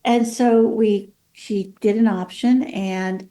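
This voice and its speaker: A woman's voice: mid-range voice, steady tone, slow, dark tone. Chatty woman author with a Californian accent. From Mexico City. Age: 50s. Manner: chatty, many fillers, voices her own past thoughts. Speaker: author, guest. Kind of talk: casual interview talk.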